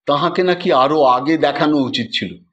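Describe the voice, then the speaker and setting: A man narrating a literary story in a measured, expressive and formal dramatic manner. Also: on the high side, dull audio. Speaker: audiobook narrator.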